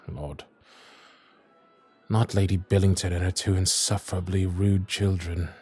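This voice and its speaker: An actor playing a wry, honourable young Victorian lord, charming and a little vain, speaking formal, courtly in a romantic audio roleplay. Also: male voice, sits low, a little mellow, husky, heavily acted. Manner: formal, courtly, old-fashioned diction.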